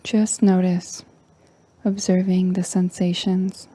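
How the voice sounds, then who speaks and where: A woman leading a guided meditation in a soft, breathy, near-whispering voice, calm and gentle with long pauses. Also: on the low side. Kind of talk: slow guided meditation narration.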